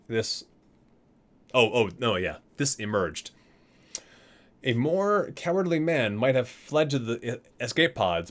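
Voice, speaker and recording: A man reading aloud dramatically as a video creator, drawing out titles and names and dropping in casual asides. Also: mid-range voice.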